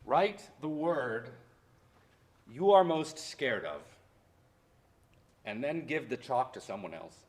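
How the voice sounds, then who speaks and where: A man playing a haunted, defiant history professor in a theatrical monologue, speaking deliberately and with dramatic emphasis. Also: fairly low pitch. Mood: solemn, defiant. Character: haunted Mexican-born history professor orphaned by deportation, wounded, defiant. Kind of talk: theatrical monologue in a staged reading.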